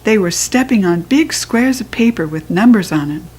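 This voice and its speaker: Expressive American woman reading a children's story. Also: medium pitch, normal speed, breathy edge. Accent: General American. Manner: expressive, childlike first-person telling. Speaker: children's book reader. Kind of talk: read-aloud storybook narration.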